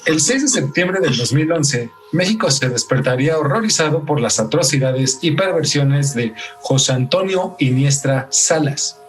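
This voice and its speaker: Higher-pitched Mexican man hosting, slightly distant. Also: animated, moderate pace, hardly any pauses, over music. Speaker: podcast host. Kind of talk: podcast hosting with scripted true-crime narration.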